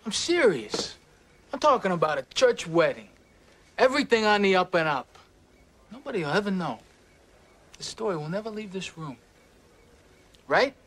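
Actor as a brash young suitor toasting. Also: male voice, high-pitched, very animated, moderate pace, pauses often, fairly bright tone, full character voice. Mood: earnest. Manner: brash, laughing and joking. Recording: film clip, distant and hissy.

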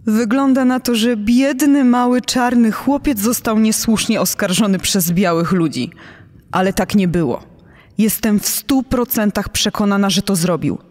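Indignant, insistent woman played by an actress, medium pitch, heavy reverb tail, documentary dramatisation. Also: normal speed, darkish tone, close to an everyday voice. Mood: indignant, certain. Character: indignant woman, murder victim's niece, convinced of guilt. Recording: long hall-like reverb.

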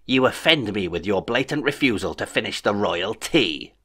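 A man's voice: lowish voice, animated, normal speed, a bit bright, breathy edge, heavily acted. A man voicing a petulant, vindictive young space overlord in a whiny, theatrical way.

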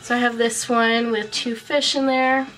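A woman's voice: on the high side, flat delivery, measured pace, a bit bright, faint music behind, rough recording. A woman in her twenties with a cold, chatting casually to the camera in a bedroom as she shows off a collection. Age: 20s. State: sick with a cold. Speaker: vlogger. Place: small bedroom, indoors.

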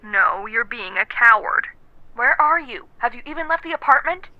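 An actress playing a bossy, blunt young best friend over the telephone in an audio drama. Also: female voice, on the high side, lively intonation, moderate pace, dry recording. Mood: exasperated. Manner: blunt, exasperated. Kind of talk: naturalistic audio drama acting. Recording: dull, dry phone-call sound.

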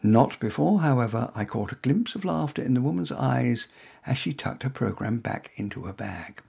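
An English man narrates a comic short story in the first person. His voice is husky and creaky, with a very animated pitch that rises and dips through droll, conversational asides. The delivery is wry, like an author reading his own work. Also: normal speed.

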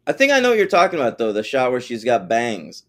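A man's voice: medium pitch, wildly swooping pitch, quick pace, a bit bright. A man co-hosting a podcast, casually chatting about a TV episode in a joking, blunt way. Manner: jokey and blunt, calls co-host "bro". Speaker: podcast co-host. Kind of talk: casual chat recapping a TV episode.

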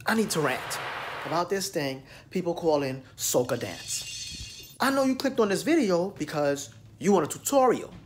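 A man's voice: on the high side, lively intonation, moderate pace, a little hiss or hum. An American man in his thirties, ranting and lecturing straight to a phone camera in a small room. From United States. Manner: emphatic, lecturing, direct address. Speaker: dance vlogger. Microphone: phone camera at arm's length.

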